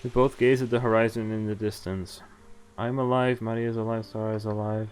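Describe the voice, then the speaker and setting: A man's voice: on the low side, fairly even intonation, a little fast, slight background noise. A man reading visual novel text aloud on a stream, adding casual, sweary, incredulous asides. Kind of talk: reading game text aloud with live reactions. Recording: game music behind the voice. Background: game music.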